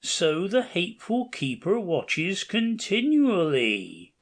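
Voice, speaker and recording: A man's voice: high-pitched, wildly swooping pitch, slow pace, heavily acted. A man playing a commanding king of the gods, declaiming in a stately, formal way in an amateur audio play.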